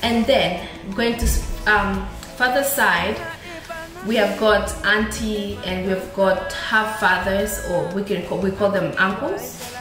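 Young woman teaching, quick and echoey. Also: mid-range voice. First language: Ebembe. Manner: quick, friendly explaining with repeated vocabulary drills. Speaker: language teacher. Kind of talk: casual online language lesson. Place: small indoor room. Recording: very echoey room with clear background music. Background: music.

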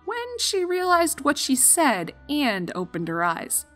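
A woman's voice: sits high, wildly swooping pitch, slow pace, a bit bright, faint music behind. A young adult woman reading scripted story narration as the narrator of a fan fiction.

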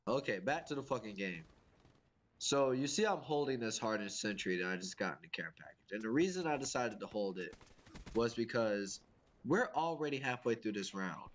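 A man's voice: medium pitch, lively intonation, moderate pace. A young man with a congested, sniffly voice from a cold, giving casual, profane gameplay commentary and ranting about his teammates. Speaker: gaming YouTuber.

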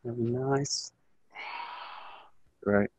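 Slow, calm male aikido instructor outdoors. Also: mid-range voice, a little hiss or hum, poor audio. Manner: calm, slow coaching with short cues and counts. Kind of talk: live online class instruction. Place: outdoors in a grassy orchard. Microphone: camera mic, distance varies as he moves.

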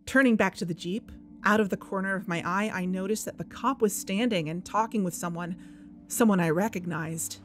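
A woman's voice: mid-range voice, brisk, lightly acted. A woman playing a dogged, impatient investigator in a podcast audio drama. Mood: narrating. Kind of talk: audio drama acting with narration.

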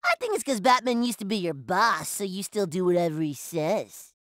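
A man's voice: high voice, big pitch swings, moderate pace, fairly bright tone, no echo, clean studio sound. A man playing a goofy, teasing teenage boy superhero in a cartoon, playful and mischievous. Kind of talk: cartoon voice acting.

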